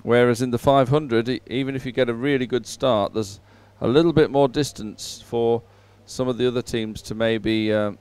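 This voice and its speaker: A man giving unhurried live sports commentary, filling time between races in halting phrases with frequent pauses. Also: medium pitch, fairly even intonation, darkish tone, clean tone.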